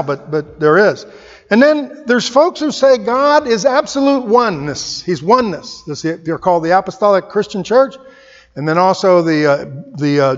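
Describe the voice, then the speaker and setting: Emphatic pastor preaching in a hall. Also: male voice, sits high, highs cut off. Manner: emphatic, rhetorical questions, repeats key phrases. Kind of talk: sermon.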